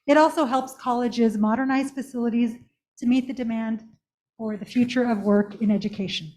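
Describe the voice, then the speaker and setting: A soft-voiced woman giving a polite, formal presentation at a council meeting, speaking through a face mask into a handheld microphone. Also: fairly high pitch, moderate pace. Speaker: community college representative. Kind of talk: prepared presentation to a village council.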